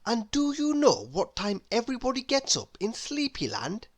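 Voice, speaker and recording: A woman reading a children's story aloud as an expressive, unhurried storyteller. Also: fairly high pitch. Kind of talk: children's read-aloud narration.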